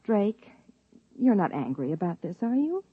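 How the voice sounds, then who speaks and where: An earnest scientist wife in a 1950s radio drama, brisk and persuasive. Her voice is slightly breathy and dry, and the old broadcast sound is muffled. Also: medium pitch, very animated, no echo. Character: eager scientist wife, narrator of the story. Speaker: narrator and lead character.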